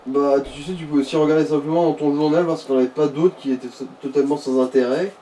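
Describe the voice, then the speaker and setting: A man's voice: a little high, steady tone, fast talker, warm tone. A man bantering casually beside a gamer, giving advice in a blunt, crude, teasing way. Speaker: co-commentator advising the player. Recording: distant mic with faint background noise.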